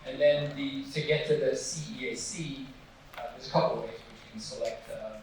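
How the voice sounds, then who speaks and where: Man, presenter at public meeting, halting conversational answers, distant mic, echoey hall. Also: mid-range voice. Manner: conversational, hesitant restarts. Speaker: nonprofit president presenting.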